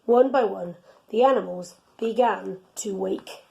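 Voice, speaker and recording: A woman reading a children's storybook aloud in an expressive, teacherly way. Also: medium pitch, a little slow, gravelly, muffled recording.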